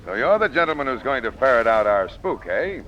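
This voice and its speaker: An actor playing a stern, no-nonsense sea captain with a blunt, commanding manner in 1940s radio style. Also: male voice, medium pitch, sing-song, fairly quick, a bit bright. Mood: hearty, blunt. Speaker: ship captain. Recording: dull, noisy old-time radio recording.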